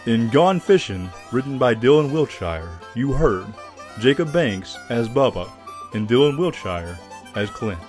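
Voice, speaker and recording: A man's voice: medium pitch, big pitch swings, unhurried, music in the background. A man giving scripted narration as the announcer of an audio drama.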